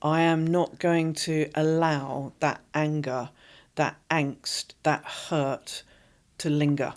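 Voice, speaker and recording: A woman's voice: deep voice, flat delivery, slow pace. A woman speaking as a business coach and podcast guest, talking emphatically and forthrightly in a casual interview.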